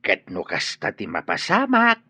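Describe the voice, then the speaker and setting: A man playing a caring middle-aged father in a radio drama, speaking gently. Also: fairly low pitch, huge pitch swings, a little slow, breathy edge, no echo, exaggerated voice. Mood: friendly. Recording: dry muffled studio audio.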